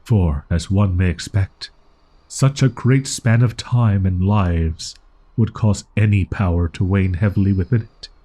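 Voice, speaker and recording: A man's voice: sits low, unhurried, warm tone, slightly rough. A man voicing a proud, easily flustered young wolf-boy in an audio roleplay, speaking in haughty, archaic, formal phrasing. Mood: proud, scornful.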